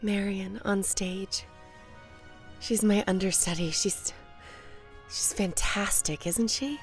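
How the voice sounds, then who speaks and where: Radio-play role female actor: gracious late stage star, wistful and gentle, faint music beneath. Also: mid-range voice, steady tone, slow, lightly acted. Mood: wistful, admiring. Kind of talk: audio drama acting.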